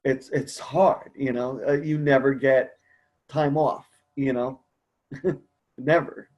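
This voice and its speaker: A man's voice: mid-range voice, fairly even intonation, slow, a little mellow, highs cut off. A man speaking casually as host of a support group conference call, earnest, encouraging and a bit rambling.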